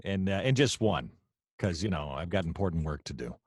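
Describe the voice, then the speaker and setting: A man's voice: low-pitched, animated, brisk, slightly rough, no room sound, studio quality. A man joking casually as a voice actor on a cast panel.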